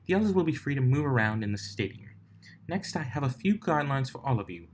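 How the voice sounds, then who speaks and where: A man's voice: mid-range voice, big pitch swings, quick pace, a little hiss or hum, voice pushed up, natural voice. Man as female pony announcer, strict, clipped, formal, dryly threatening, storybook reading. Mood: stern. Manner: stern, clipped, dryly menacing.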